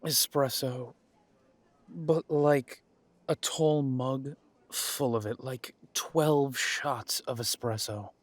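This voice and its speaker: A man's voice: mid-range voice, fairly even intonation, very slow, dry recording. A man playing a wry, tired and protective male werewolf pack alpha in an audio drama, with dry sarcasm. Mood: weary. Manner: dry, sarcastic, hesitant when weary.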